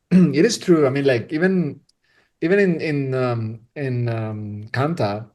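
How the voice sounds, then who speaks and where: A man's voice: mid-range voice, slow pace, a little mellow. A man from Spain, a cinematographer, talking casually in a podcast interview, rambling with many restarts and frequent laughter. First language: Spanish.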